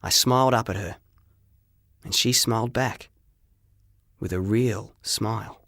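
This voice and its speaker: A man narrating a story in the first person as an audiobook reader, with expressive, dramatic, deliberate phrasing. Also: on the low side, dry recording, clean studio sound.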